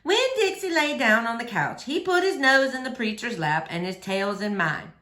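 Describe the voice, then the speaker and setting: Bright, expressive woman storyteller. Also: on the high side, moderate pace, reverberant. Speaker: read-aloud storyteller. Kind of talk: expressive children's novel narration.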